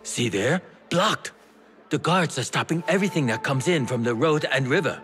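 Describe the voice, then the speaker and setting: Lively high-pitched old merchant. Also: male voice, moderate pace, faint music behind. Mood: shrewd, familiar. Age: older. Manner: theatrical, exclamatory, teasing. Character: lively old Chinese tea merchant, wily and affectionate. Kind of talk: video game character acting.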